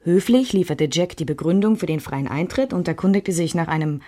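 An actress as a composed female narrator with a low voice. She reads a bohemian memoir in a measured literary style for a radio play. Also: warm tone, lightly acted.

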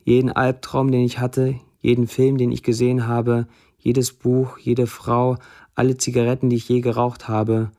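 An actor playing a sardonic Syrian blacksmith who writes Facebook posts, delivered as a literary monologue in a radio play. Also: male voice, medium pitch, monotone, moderate pace, mellow, lightly acted. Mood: bitter.

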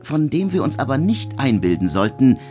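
An actor playing an aloof, intellectual young composer in a literary radio drama, lecturing with offhand irony. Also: male voice, mid-range voice, sing-song, moderate pace, warm tone, faint music behind, lightly acted. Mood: wry, lecturing.

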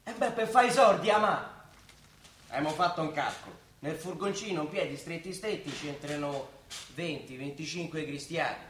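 Young Roman working-class son, played by a man, fairly high voice, colloquial and cajoling, a small-time schemer in stage comedy, roomy sound. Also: moderate pace, fairly bright tone, slight background noise, natural voice. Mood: eager, pleading. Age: young adult. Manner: colloquial, coaxing.

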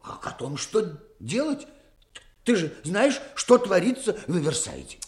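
Актёр играет робкого, нерешительного и доверчивого служащего, который запинается, в радиоспектакле 1960-х. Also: male voice, high-pitched, very animated, unhurried. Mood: anxious. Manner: stammering, hesitant. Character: timid, indecisive, gullible petty official. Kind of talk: 1960s radio drama acting.

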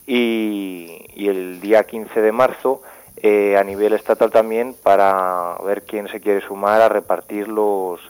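Man, very low voice, phone call, halting, lots of fillers. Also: fairly even intonation, darkish tone, smooth. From Spain. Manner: halting, many fillers and restarts. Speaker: caller from a local radio. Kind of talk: phone call into radio show. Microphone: telephone. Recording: phone line.

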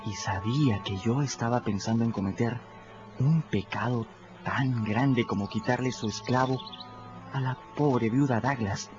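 A man narrating in first person for a radio drama, earnest and reflective. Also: unhurried. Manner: earnest, reflective, rises in pitch when moved. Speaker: narrator voicing a boy. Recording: muffled radio audio with music.

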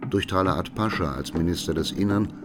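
A man's voice: deep voice, a little fast, close to an everyday voice. An actor playing a sober male document reader, stating facts formally in a documentary radio drama. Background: music.

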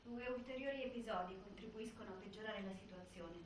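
An actress as a grave narrator, giving formal, factual scripted narration in a documentary-style drama. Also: female voice, mid-range voice, fairly quick, natural voice. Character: grave female narrator of a prison case. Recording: echoey stage, far mic, faint noise.

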